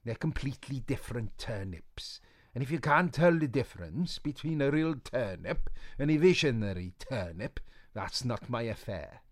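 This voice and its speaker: A man reading aloud and voicing a cranky, bossy old woman seer, high and theatrical. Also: expressive, normal speed, close to an everyday voice. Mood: scornful.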